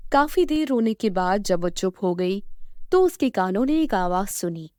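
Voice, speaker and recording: A woman's voice: medium pitch, sing-song, normal speed, darkish tone, dry recording, studio quality. A woman reading a scripted children's story as the storyteller.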